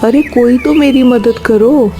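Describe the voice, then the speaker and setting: Woman voicing a mellow-toned cartoon father crow. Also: a little high, takes it slowly, faint music behind. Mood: pained, pleading. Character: adult male crow, a caring family father. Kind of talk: Hindi cartoon story dialogue.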